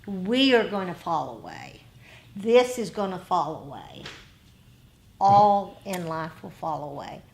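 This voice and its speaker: A woman's voice: fairly low pitch, big pitch swings, slow, gravelly, darkish tone. A woman speaking informally as a teacher in a meditation group discussion.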